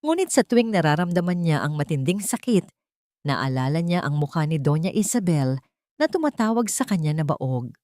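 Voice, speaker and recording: A woman's voice: sits low, sing-song, normal speed, darkish tone, dry recording, clean studio sound. A woman with a Filipino accent reading a scripted, dramatic story as narrator.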